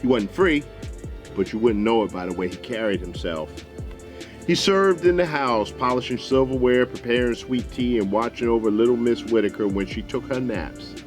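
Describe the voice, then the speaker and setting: An American man hosting and telling a story in a deliberate, folksy, dramatic way. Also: medium pitch. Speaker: storyteller, show host. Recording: music behind the voice.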